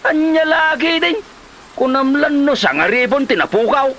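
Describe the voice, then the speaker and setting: A man's voice: extremely high pitch, lively intonation, normal speed. A man playing a shifty, irritable, broke husband in a comedy drama, with a Filipino accent and a dismissive manner. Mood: defensive, irritated. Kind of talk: Ilocano comedy drama acting. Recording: light noise, rain-like ambience.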